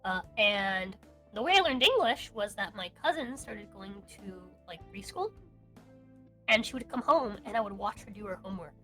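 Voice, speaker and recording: A young woman from the United States with Cuban parents and Spanish as her first language, chatting casually as an interviewed streamer in an excitable, sweary, giggly way. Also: medium pitch, moderate pace, faint music behind. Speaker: online streamer being interviewed. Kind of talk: casual chat.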